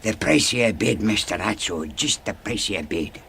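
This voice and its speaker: An actor playing a wheedling, unhinged down-and-out beggar with a raspy, cracked voice in a radio drama. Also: male voice, lowish voice, expressive, unhurried, fairly bright tone, slight background noise, full character voice. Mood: pleading.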